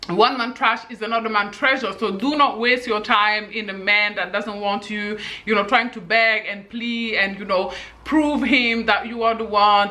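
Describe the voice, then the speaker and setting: Bright, husky-voiced woman giving dating advice. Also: mid-range voice. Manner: emphatic, repeats "okay?" to drive points home. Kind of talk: direct-to-camera advice monologue.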